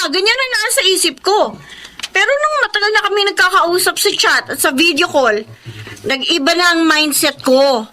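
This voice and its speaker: An actress with a Filipino accent playing an excitable, lovestruck young daughter in a radio drama, dramatic and emphatic. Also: female voice, extremely high pitch, a little hiss or hum, full character voice. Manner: dramatic, emphatic, gushing and indignant.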